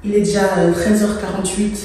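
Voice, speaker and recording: A woman's voice: mid-range voice, flat delivery, brisk, warm tone. A woman from Cameroon, a native English speaker with a Cameroonian accent, vlogging informally and thinking aloud as she works in an empty room, sometimes slipping in English words. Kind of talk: casual vlog chat while working. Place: empty freshly plastered room indoors. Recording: very echoey empty room, faint noise, distant mic.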